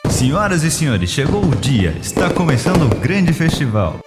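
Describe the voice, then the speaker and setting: A man puts on a grandiose anime festival announcer, showy and swooping in pitch, with a Brazilian accent. Loud music plays behind him. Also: sing-song, moderate pace. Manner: showy, proclaiming.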